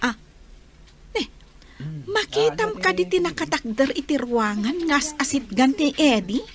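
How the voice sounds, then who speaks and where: A woman's voice: sits high, expressive, a little slow, a crowd in the background. A woman playing a nosy, teasing schoolgirl sidekick in an audio drama, with exaggerated delivery. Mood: puzzled, nosy.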